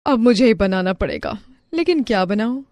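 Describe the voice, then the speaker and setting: A woman's voice: on the high side, expressive, normal speed, a little mellow, dry recording, studio quality, lightly acted. A woman playing a proud, bossy middle-aged mother-in-law in a family story cartoon. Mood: weary, regretful. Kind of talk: Hindi family moral-story cartoon acting.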